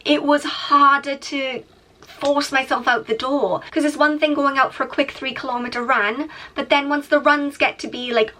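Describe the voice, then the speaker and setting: A woman's voice: high-pitched, steady tone, moderate pace, pushed and bright, a little hiss or hum. Chatty young woman vlogger. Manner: chatty, self-mocking, laughs often. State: congested from a cold. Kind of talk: casual vlog narration.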